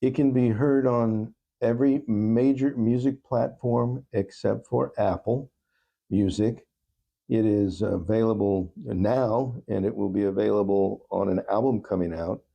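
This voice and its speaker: A man's voice: lowish voice, unhurried, gravelly, highs cut off. A man talking earnestly and conversationally as an interview guest and musician.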